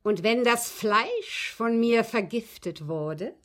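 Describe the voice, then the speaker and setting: An actress in a German radio drama playing a well-bred, abused woman confessing a poisoning to the police, phrasing deliberately and emphatically in a husky, breathy voice. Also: on the high side, lively intonation, a bit bright. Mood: bitter, precise.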